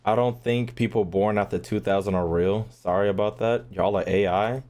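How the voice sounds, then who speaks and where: A man's voice: on the low side, fairly even intonation, normal speed, darkish tone. A young American man giving casual, slangy, joking live game commentary as a streamer. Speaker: gaming streamer. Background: faint game music.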